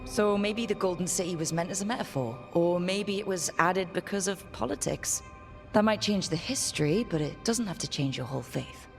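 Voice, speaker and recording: A calm, reasonable female hero in a fantasy game, with a low voice for a woman. She sounds thoughtful and reassuring, with light music underneath. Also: moderate pace, gravelly. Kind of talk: video game dialogue acting.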